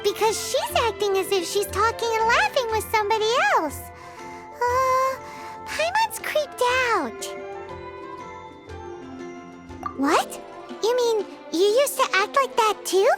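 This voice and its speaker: Super high squeaky little fairy sidekick, chatty, bouncy, loud game music behind. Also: female voice, expressive, measured pace. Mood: curious. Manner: squeaky, childlike, refers to herself in third person. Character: tiny floating female fairy companion, chatty and excitable. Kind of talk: game voice acting.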